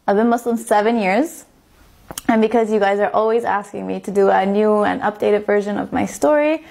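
A woman's voice: mid-range voice, gravelly. A woman in her twenties from Estonia, a native Estonian speaker, telling a personal story casually as a video blogger, chatty and repeating words for emphasis. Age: 20s.

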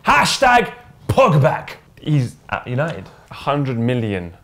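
A young man co-hosting a casual football chat show on a studio sofa, hyping segments with loud chanted shouts. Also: mid-range voice, wildly swooping pitch, slow pace. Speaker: co-host. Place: studio set with sofas.

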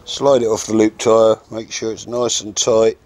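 A man's voice: fairly low pitch, level tone, moderate pace, a little mellow. A man in his sixties, recorded outdoors in a garden, frankly talking through a fishing how-to step by step. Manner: plain, practical and frank, with short step-by-step instructions. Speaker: fishing instructor. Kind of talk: casual how-to demonstration. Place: outdoors in a garden by a wooden fence.

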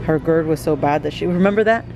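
A woman's voice: deep voice, fast, vocal fry, muffled recording. A middle-aged woman filming a casual family vlog in a big grocery store, chatting to the camera and laughing often, recorded on a handheld camera at arm's length. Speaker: vlogger. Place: large grocery store, indoors.

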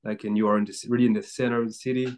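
A man's voice: fairly low pitch, flat delivery, racing, a little mellow. A man in his thirties with a French accent, a French native speaker, answering questions on a video call, hesitant and searching for words. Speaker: guest, baseball player. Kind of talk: casual video-call interview. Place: small indoor room.

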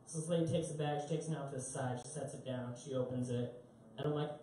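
A man's voice: mid-range voice, steady tone, fast talker, mellow, slight background noise. A young man doing live stand-up, telling rambling, self-mocking stories and laughing at himself on a small club stage. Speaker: stand-up comedian. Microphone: handheld mic, heard through a far camera. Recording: roomy echo, distant live capture.

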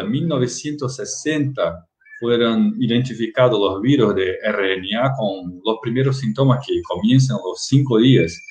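A middle-aged Brazilian man lecturing over a video call, his voice sitting a little low. He speaks very slowly and carefully, restarting words as he goes. The webcam audio sounds roomy. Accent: Brazilian Portuguese. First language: Portuguese. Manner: halting, careful, frequent restarts. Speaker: guest lecturer. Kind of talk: online lecture with slides.

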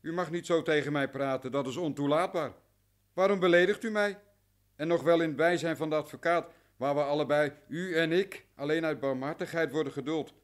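An actor playing a servile, easily offended client in a radio play, deferential and plaintive. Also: male voice, fairly high pitch, fairly quick, lightly acted. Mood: indignant.

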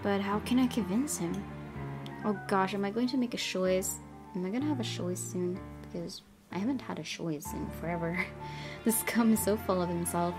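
A woman's voice: medium pitch, normal speed. Woman reading a game aloud over music. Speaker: gaming video host. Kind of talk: let's play, reading visual novel narration aloud with casual asides.

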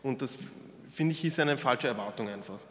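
A man's voice: mid-range voice, moderate pace, a bit bright. A young man from Austria, a panel guest speaking frankly and earnestly, with some hesitant fillers, at a table microphone. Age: young adult. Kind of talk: panel discussion. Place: wood-panelled hall, indoors. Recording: reverberant hall with faint noise.

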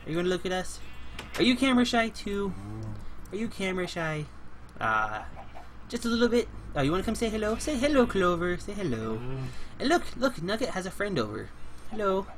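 A young man hosting a casual game let's play, playful and chatty, getting over a cold and clearing his throat now and then. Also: high-pitched, sing-song, a little fast, fairly bright tone, a little hiss or hum. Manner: playful, chatty, talks to animals and co-player in a cutesy way. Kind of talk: casual gameplay commentary.

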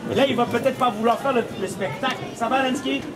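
A man's voice: very high-pitched, fast talker. A man, telling comic stories theatrically and mock-seriously as a street puppeteer. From Canada. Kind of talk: comic storytelling to a live audience. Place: outdoor cobbled street by a stone wall. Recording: outdoor live show, noisy crowd.

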